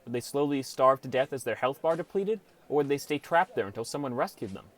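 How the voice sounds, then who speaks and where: A man's voice: medium pitch, lively intonation, fast. A man reading scripted first-person story narration as an audiobook narrator.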